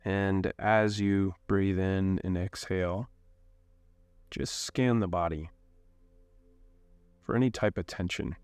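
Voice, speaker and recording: A man leading a guided meditation in a calm, unhurried way, giving gentle instructions with long pauses. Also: low-pitched, clean tone, dry recording.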